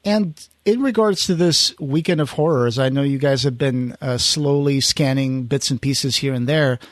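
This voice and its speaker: A man's voice: medium pitch, moderate pace, dry recording, studio quality. A man hosting a podcast in casual chat, telling stories and acting out the quotes. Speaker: podcast host.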